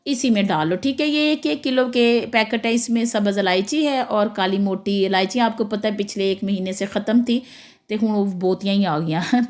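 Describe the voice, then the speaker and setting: A middle-aged woman with a Pakistani Punjabi accent and a hoarse throat, chatting casually while demonstrating kitchen tasks and slipping into Punjabi. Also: a little high. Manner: chatty, slips into Punjabi, laughs often. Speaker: cooking vlogger.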